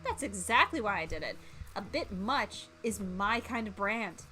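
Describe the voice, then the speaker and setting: A vain, over-the-top cooking student from a visual novel acted out by a woman. The words are slowly drawn out and showy, in a bright voice with music behind. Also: medium pitch, expressive. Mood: showy, proud.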